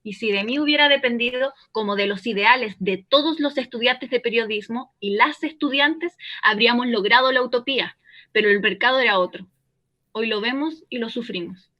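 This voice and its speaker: Bright-voiced woman playing a veteran newsreader. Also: on the high side, normal speed, dull audio. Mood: firm. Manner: firm, explanatory, defends her past work. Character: veteran woman newsreader calling from an underground bunker, firm and defensive. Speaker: journalist and former newsreader. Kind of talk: radio drama acting.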